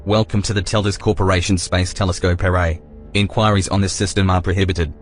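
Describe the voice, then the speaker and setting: A man playing an officious security AI in an audio drama, formal, deadpan and bureaucratic. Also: sits low, brisk, close to an everyday voice. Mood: stern, officious. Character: officious, stern male security AI guarding a system. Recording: music under the dialogue.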